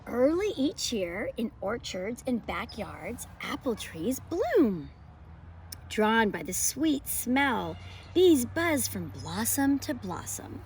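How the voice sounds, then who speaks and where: Expressive woman teaching and reading to children. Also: a little high, takes it slowly, slight background noise. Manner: expressive, emphatic storytelling to young children. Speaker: homeschool teacher hosting a read-aloud. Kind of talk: children's picture book read-aloud and lesson presenting.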